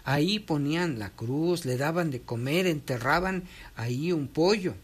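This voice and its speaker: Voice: mid-range voice, measured pace, husky. A speaker reads from a text and explains it patiently, like a teacher. They often stop to check that the listener is following. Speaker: guest explaining traditional midwifery. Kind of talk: conversational explanation, reading from a text and commenting.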